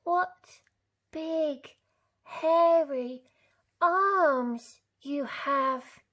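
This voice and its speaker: A woman voicing a cheerful, polite little girl with a high, sweet voice. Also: very slow, a bit bright, full character voice. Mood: wondering.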